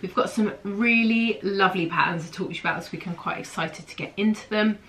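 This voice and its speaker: A woman's voice: medium pitch, fairly quick, fairly bright tone. A woman in her thirties with a stuffy, nasal voice from hay fever, chatting enthusiastically to camera from a small room at home. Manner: enthusiastic, gushing, gestures a lot with her hands. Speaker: host of a sewing pattern show. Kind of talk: casual chatty review to camera.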